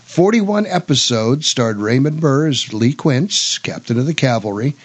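A man's voice: medium pitch, lively intonation, measured pace, breathy edge. An American man hosting a radio show, talking casually and making announcements.

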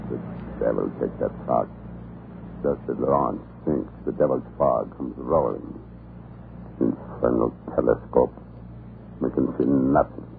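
An actor playing a murderous Tsarist tyrant in old radio horror, gloating and sneering with theatrical mock courtesy in a gravelly voice. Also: male voice, unhurried, long pauses, full character voice. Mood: cursing. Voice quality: deep, gravelly. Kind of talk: 1930s radio horror acting. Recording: muffled, noisy old radio recording.

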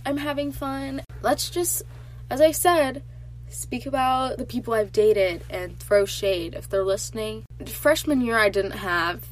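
A woman's voice: on the high side, a little slow, poor audio. Teenage girl, nasal, congested, casual chatty podcast talk, faint hum. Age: teens. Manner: chatty and animated, lots of filler "like". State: congested from allergies. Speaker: podcast host. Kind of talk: casual solo podcast chat.

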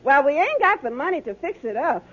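A woman's voice: high voice, big pitch swings, brisk, heavy background noise. An actress in an old radio comedy playing a practical, no-nonsense wife who scolds and questions her husband. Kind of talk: 1940s-50s radio comedy acting. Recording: muffled old radio, studio audience.

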